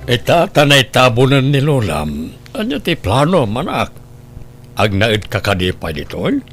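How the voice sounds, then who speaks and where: A man with an Ilocano Filipino accent playing a gentle, sorrowful father who tries to keep peace, in radio drama style. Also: medium pitch, sing-song, measured pace, soft background music. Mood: gentle, somber.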